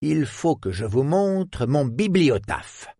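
A man's voice: a little high, sing-song, slow pace, gravelly, mellow, no echo, studio quality, voice pushed up, heavily acted. A man voicing a theatrical, effusive elderly male historian who speaks with old-fashioned courtesy. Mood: jovial.

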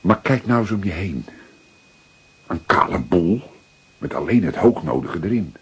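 A seasoned Dutch police detective, older and paternal, with a very husky, low voice. His delivery is methodical and emphatic, swinging widely in pitch as he reasons through a crime drama. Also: lively intonation, heavily acted. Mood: reflective, explanatory. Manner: deliberate, emphatic, repeating words for effect. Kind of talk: dramatic detective dialogue acting.

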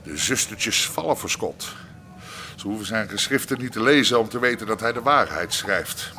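A man's voice: fairly low pitch, animated, moderate pace, sharp tone. Boastful preachy male convict, cult leader type, radio drama, pretty noisy recording. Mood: haughty, preaching. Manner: grandiose, preachy, refers to himself by name. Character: burn-scarred, boastful, messianic male prisoner with fascist leanings. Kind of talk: Dutch radio play acting.

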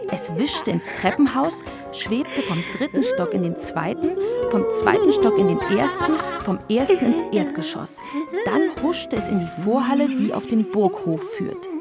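A woman narrating a children's story from a script in an expressive storytelling manner. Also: high-pitched, a little slow. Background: music.

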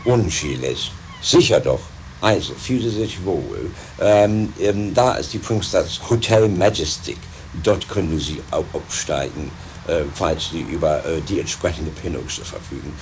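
A low-voiced, self-important harbourmaster, acted by a man, speaking haltingly and officiously like a local big boss in a radio comedy. The amateur recording carries faint noise. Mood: smug, halting. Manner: pompous, halting. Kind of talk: improvised comedy radio play. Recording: slightly noisy amateur 1980s recording.

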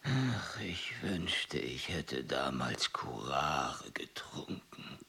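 An actor playing a grumpy, blunt old genius scientist in a German radio play, with a curt, grumbling manner. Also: male voice, rumbling low, big pitch swings, extremely slow, gravelly, bright, forceful tone, slightly rough, heavily acted. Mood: weary, brooding.

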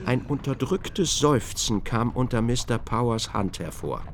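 Gravelly male literary narrator, lively intonation. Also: mid-range voice, a little slow. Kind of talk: scripted literary narration in a radio drama. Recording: clearly noisy, vehicle ambience behind.

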